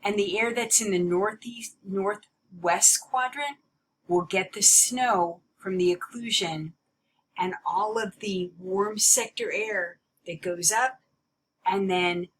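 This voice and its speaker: Slow, deliberate woman teaching a lesson. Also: mid-range voice, a little hiss or hum, low-quality recording. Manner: deliberate, emphatic, repeats key points. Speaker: meteorology teacher. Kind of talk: explanatory lecture.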